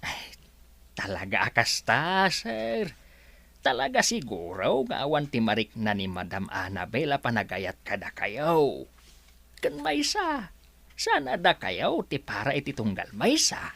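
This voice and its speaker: Woman doing comic ilocano servant in a radio play, deep voice, swoops all over, blunt and exclamatory. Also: wildly swooping pitch, creaky, a bit bright, heavily acted. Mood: puzzled, sympathetic. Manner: exclamatory, drawn-out swooping delivery. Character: blunt, comic servant counselling the lovelorn boss. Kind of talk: Ilocano comedy radio drama acting.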